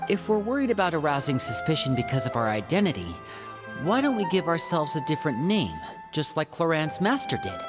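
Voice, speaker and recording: A woman's voice: low voice, very animated, fairly quick, over music. A woman playing a level-headed, practical adventurer in an anime video game. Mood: thoughtful.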